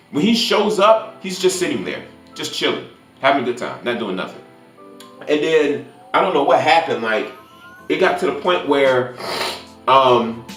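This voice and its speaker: A man talks casually through a film review in a roomy, echoing space, with faint music behind him. His pitch sits in the middle range, and he rambles, doubling back on words as he goes. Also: a bit bright. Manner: rambling, repeats words while searching for the next one. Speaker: movie reviewer, channel host. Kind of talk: unscripted casual spoiler recap and review.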